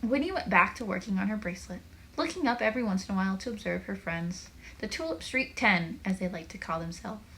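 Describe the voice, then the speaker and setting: A woman with a bright, clear-cut tone reads a children's story aloud. She has an average pitch and an animated delivery. She speaks to young listeners like a teacher, slipping in chatty asides. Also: a little fast, slight background noise, poor audio. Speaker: teacher reading a book aloud.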